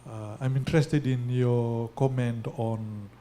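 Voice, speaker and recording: A man asking a question from the audience at a forum, hesitant and deliberate in his phrasing. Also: medium pitch, fairly even intonation, mellow, slight background noise.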